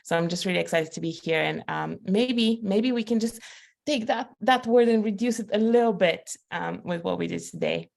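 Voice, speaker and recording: A woman from Eastern Europe, an inclusion consultant, introducing herself in an earnest, halting way on an online panel. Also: mid-range voice, gravelly, a little mellow. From post-communist Eastern Europe.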